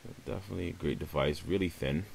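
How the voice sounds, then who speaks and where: A man with a very low-pitched voice walks viewers through a phone unboxing in a relaxed, upbeat tone. He calls his audience "guys" and speaks close to the mic. A faint hum sits under his voice. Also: quick pace, vocal fry. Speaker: tech reviewer. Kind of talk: casual unboxing voice-over.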